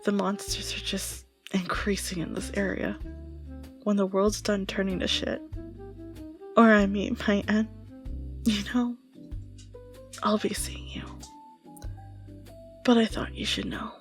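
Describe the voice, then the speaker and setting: A woman playing a grieving lone survivor bidding a lost lover farewell in a tender, halting audio roleplay monologue, often dropping to a whisper. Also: mid-range voice, level tone, soft background music.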